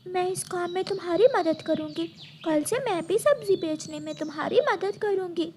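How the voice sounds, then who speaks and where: An eager, brave little girl bird from a children's cartoon. The voice is extremely high and childlike, cheerful and helpful. Chirping birds and faint ambience sit behind it. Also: normal speed, a little mellow, slight background noise. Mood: earnest. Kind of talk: Hindi children's cartoon dialogue.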